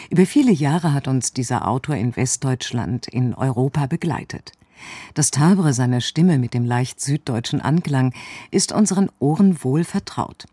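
Radio presenter, scripted narration, medium pitch, soft mellow voice, close dry sound. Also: husky, no echo. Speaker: radio presenter introducing an audio drama.

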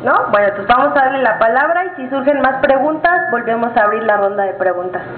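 A woman's voice: a little high, expressive, a little fast, slight background noise. A woman moderating a question session, informal and inviting. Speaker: event moderator. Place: meeting hall with an audience. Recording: echoey room over a tinny lo-fi line.

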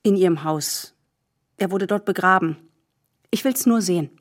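A woman's voice: lowish voice, moderate pace, natural voice. An actress playing a bereaved young woman in an audio drama, wounded and guarded, hesitant yet stubbornly insistent. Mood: insistent, bitter. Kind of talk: German audio drama acting.

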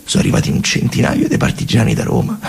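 A man playing a weary male partisan leader in a stage monologue, complaining plaintively in a drawn-out central Italian dialect. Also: a little mellow, natural voice.

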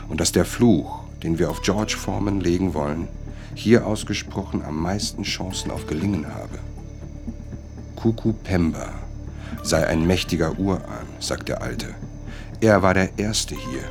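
Deep gravelly guy narrating a radio drama, brooding, measured phrases, music clearly behind him. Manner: deliberate, dramatic, short phrases. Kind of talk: scripted radio play narration.

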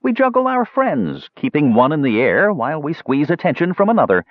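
A man voicing a playful, fast-talking dwarf juggler with theatrical, wheedling patter for an audiobook. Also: a little high, sing-song, no room sound, dull audio, pitched above the performer's own voice. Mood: philosophical, showy. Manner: rambling, theatrical salesman patter. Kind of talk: audiobook character voice.

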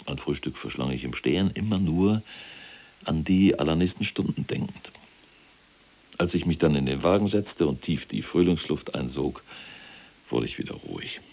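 A proud, pompous SS general in captivity in an audio drama. His voice is extremely deep, gravelly and husky, and he recounts things in a formal, pedantic, self-important way. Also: a little mellow, exaggerated voice.

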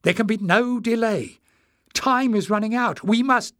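A man's voice: sits high, expressive, moderate pace, dry recording, studio quality, voice pushed up. A man voicing a fierce, urgent young adventurer woman in an audiobook story.

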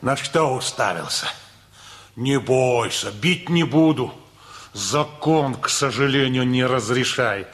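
An actor in a radio play playing a rude, jealous, hot-tempered middle-aged uncle who talks in coarse, taunting jibes. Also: male voice, medium pitch, animated, slow pace, fairly bright tone. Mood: menacing, scornful.

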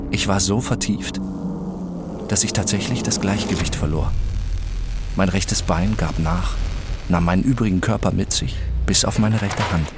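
An actor playing a detached, obsessed first-person narrator, speaking in a measured, deliberate way in an audio drama. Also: male voice, sits low, noisy background.

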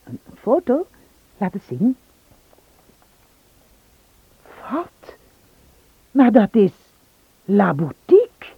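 A woman's voice: medium pitch, huge pitch swings, slow, breathy edge, no echo, exaggerated voice. An actress in a Dutch radio play, playing a curious, self-assured lady on holiday. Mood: surprised. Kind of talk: Dutch radio drama acting. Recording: muffled lo-fi old radio recording.

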